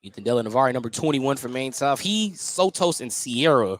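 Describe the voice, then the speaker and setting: A man's voice: mid-range voice, lively intonation, fairly quick. A man doing live radio play-by-play commentary of a basketball game as the announcer. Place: indoor gymnasium. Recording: noisy live gym broadcast. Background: gym noise, bouncing basketballs.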